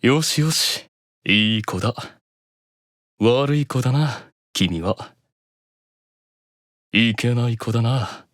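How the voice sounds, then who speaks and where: A man voicing a composed, commanding demon from an anime game, slow and deliberate, stern yet seductive. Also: medium pitch, lively intonation, a bit bright, dry recording, studio quality, pitched below the performer's own voice. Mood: seductive, teasing.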